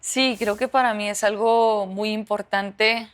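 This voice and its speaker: A woman's voice: mid-range voice, fairly even intonation, unhurried. A young woman from the United States answering interview questions, hesitant, with many fillers and restarts. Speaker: professional footballer.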